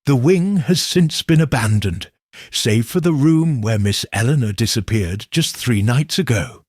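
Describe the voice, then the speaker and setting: A man voicing an anxious, formal gentleman client in a Victorian mystery audiobook. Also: mid-range voice, animated, unhurried, no echo, studio quality. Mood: grave. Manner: hesitant, uneasy, formal.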